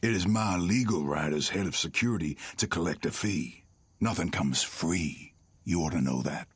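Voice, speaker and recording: A crooked small-town lawman with a very low, husky voice. He is smug and menacing, taking his time as he taunts, in audio drama style. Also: no echo. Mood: cold menace. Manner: unhurried, taunting, arrogant.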